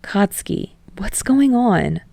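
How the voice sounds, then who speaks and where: A woman voicing a cheerful, affectionate, excitable man in a fan fiction audiobook, with an eager, emotive delivery. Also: medium pitch, takes it slowly, vocal fry, no room sound, heavily acted. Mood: startled, puzzled.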